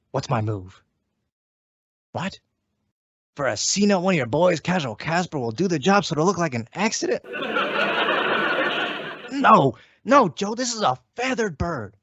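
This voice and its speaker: A performer acts a shady wise-guy boyfriend from a vintage radio sitcom. His patter is slangy and cocky, lively in pitch with a creaky edge. The sound is muffled. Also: no room sound, full character voice. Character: shady wisecracking schemer boyfriend, a man. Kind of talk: old-time radio comedy acting. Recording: dull, lo-fi, dry audio.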